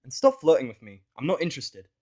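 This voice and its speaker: A man voicing a sullen, irritable human man in a fan fiction audio story, speaking with deadpan sarcasm. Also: fairly high pitch, fast, pitched above the performer's own voice. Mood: irritated.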